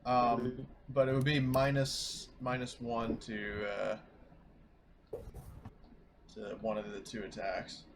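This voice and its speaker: A man's voice: medium pitch, flat delivery, takes it slowly, pauses often, noisy background. A man playing a tabletop RPG, chatting casually in a profane, playfully cocky way. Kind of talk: casual gaming chat.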